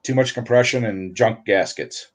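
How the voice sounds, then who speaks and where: A man, a guest on a livestream, explaining engine work in a relaxed way with lots of fillers. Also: medium pitch, moderate pace. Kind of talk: casual technical chat.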